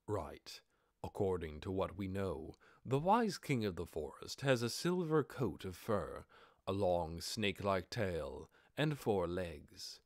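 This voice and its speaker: A man voicing a composed lordly master in an audiobook, measured, formal and authoritative as he keeps up a commanding front. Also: low voice, sing-song, no echo, studio quality, pitched below the performer's own voice, heavily acted. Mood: measured, deliberate. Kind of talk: audiobook character voice.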